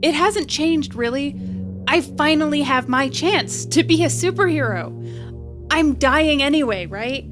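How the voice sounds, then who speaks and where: Flippant young repairwoman, audio drama. High voice, slow delivery, self-deprecating bravado with an earnest core. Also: animated, over music. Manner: rambling, joking bravado over earnest pleading.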